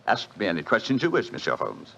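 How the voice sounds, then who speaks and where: A smooth, urbane casino man, cool and fatalistic, his voice lively and bright. It comes through a dull old radio broadcast. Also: fairly low pitch, fast, a little hiss or hum, exaggerated voice. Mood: composed. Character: suave French casino manager, cool gambler. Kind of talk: 1940s radio acting.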